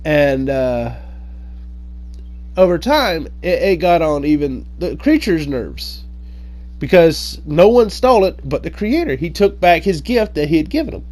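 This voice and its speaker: Male storyteller, slightly high voice, unhurried and hesitant, informal folk-tale telling with self-corrections. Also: very animated, darkish tone. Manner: halting, hesitant delivery with frequent pauses and self-corrections. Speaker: online storyteller.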